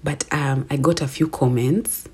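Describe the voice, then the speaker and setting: A young Kenyan woman with a deep, low-pitched voice and a slightly husky edge talks through a casual solo podcast episode. She is chatty and self-affirming, and she laughs at herself easily. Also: steady tone, measured pace, warm tone. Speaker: podcast host.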